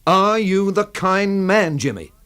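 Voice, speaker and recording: A man's voice: high-pitched, animated, slow pace, a bit bright, clear, resonant tone, exaggerated voice. An actor playing a wry, hard-boiled male sleuth who narrates a radio mystery in a dry, clipped manner. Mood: urgent, pressing. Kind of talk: radio drama acting and noir narration.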